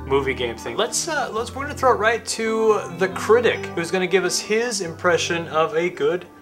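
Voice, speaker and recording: A man's voice: sits high, very animated, moderate pace. Upbeat man co-hosting over music. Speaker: co-host. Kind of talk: casual top-five list show. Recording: music playing behind the voice.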